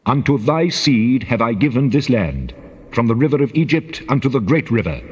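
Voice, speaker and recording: A man voicing God as a solemn, commanding divine figure, declaiming deliberately in a dramatized scripture reading. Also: mid-range voice, expressive, husky, lo-fi sound. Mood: solemn pronouncement. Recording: long cavernous reverb.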